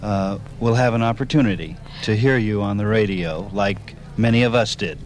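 A man's voice: lowish voice, moderate pace. A man hosting a conversational radio interview, with polite, hesitant phrasing. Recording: faint background noise.

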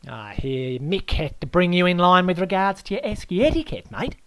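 A man's voice: sits high, very animated, normal speed, fairly bright tone, no room sound, voice pushed up, heavily acted. A man voicing a blustering host who swears and mocks his guest. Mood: mocking. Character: loud brash Australian male host, bullying.

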